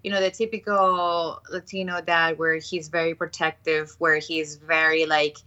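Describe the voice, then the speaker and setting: A woman in her late twenties from Ecuador, speaking as a podcast guest. She talks earnestly, with many restarts, in a bright, forceful voice that sits a little low. The recording sounds dull and lo-fi. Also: level tone, normal speed, smooth. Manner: earnest, frequent restarts and fillers. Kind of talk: casual interview conversation.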